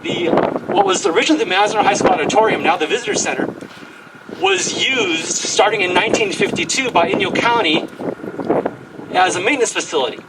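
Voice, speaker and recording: High-pitched, animated man in loud wind. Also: fairly quick, vocal fry, far from the mic. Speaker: committee speaker introducing an honoree. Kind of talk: informal public tribute speech. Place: outdoor event in strong wind. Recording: windy outdoor mic, loud wind noise.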